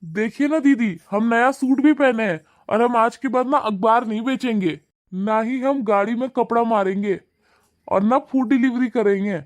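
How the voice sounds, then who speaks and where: A man's voice: very high-pitched, normal speed, no echo. A man voicing a humble, hardworking young male newspaper seller in a cartoon, talking politely and deferentially. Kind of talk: cartoon voice acting.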